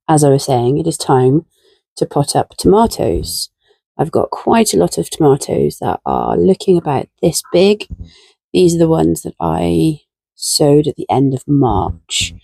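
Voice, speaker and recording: A woman talks in a relaxed, chatty way while she works, her croaky voice gravelly with fry. Her tone is mellow and slightly husky, and the sound is dry and close. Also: sits low, dry recording. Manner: relaxed, chatty, self-deprecating. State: croaky, tired voice. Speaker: gardening vlogger. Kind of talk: casual chat while gardening. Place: garden shed and greenhouse.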